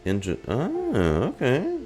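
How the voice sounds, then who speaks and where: A man's voice: medium pitch, huge pitch swings, a little slow, over music. A man giving casual live reaction commentary as a game streamer.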